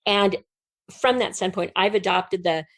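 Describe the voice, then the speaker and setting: A middle-aged woman giving an earnest, emphatic and expressive explanation as a guest in a conversational interview. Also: medium pitch, unhurried, no echo. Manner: earnest, emphatic, expressive storytelling with illustrative metaphors. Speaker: podcast guest, writer.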